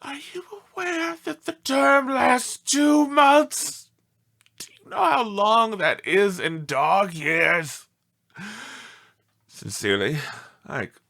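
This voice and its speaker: A man plays a melodramatic storybook dog, indignant and sorry for himself. The delivery swings widely in pitch, slow and drawn out, with a bright, forceful edge. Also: lively intonation, vocal fry, exaggerated voice. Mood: indignant, hurt. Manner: theatrical, indignant, self-pitying. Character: aggrieved melodramatic pet dog writing pleading letters home. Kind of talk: expressive storybook read-aloud.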